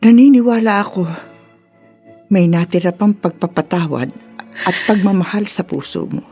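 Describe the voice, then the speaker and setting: A woman's voice: mid-range voice, expressive, normal speed, dark tone, husky, faint music behind. An actress playing a wise older mother in a Filipino radio drama, consoling earnestly and soothingly with a Filipino accent. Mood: encouraging.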